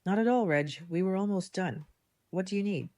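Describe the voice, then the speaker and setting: An actress playing a shrewd, composed female community leader in an audio drama, measured and articulate in manner. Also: medium pitch, mellow, dry recording, clean studio sound, natural voice. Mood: polite. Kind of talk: fan audio drama acting.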